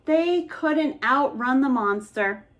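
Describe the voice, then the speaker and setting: A woman reading a children's picture book aloud as an expressive bedtime story. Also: sits high, slow, lo-fi sound.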